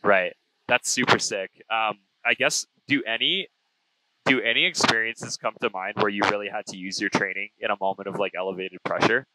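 A man's voice: lowish voice, steady tone, moderate pace, background noise. A man around thirty hosting a casual, conversational interview, speaking into an aviation headset mic. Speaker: interview host, pilot. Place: small plane cockpit in flight. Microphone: aviation headset boom. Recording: close aircraft headset intercom.

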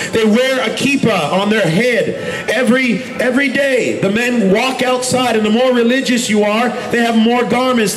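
A man in his thirties preaching in the open air on a city street at night into a handheld microphone, often raising his voice to a shout. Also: very high voice, roomy sound, slight background noise, low-quality recording. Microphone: handheld microphone through a street speaker.

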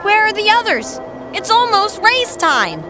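A woman's voice: extremely high pitch, sing-song, measured pace, loud music behind the voice, heavily acted. A woman voicing a friendly, eager young animal character in a children's cartoon. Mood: unsure.

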